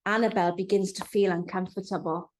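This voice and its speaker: A woman narrating a storybook for young children in an expressive storytelling manner. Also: mid-range voice, brisk. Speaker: author reading own children's book.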